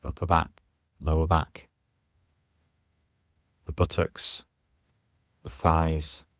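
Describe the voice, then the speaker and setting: Male yoga teacher for guided relaxation: very low pitch, soothing, measured delivery with pauses. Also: monotone, fairly bright tone, no room sound, studio quality. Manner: soothing, unhurried, pausing between short phrases. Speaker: yoga nidra teacher guiding a meditation. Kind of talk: guided meditation instruction.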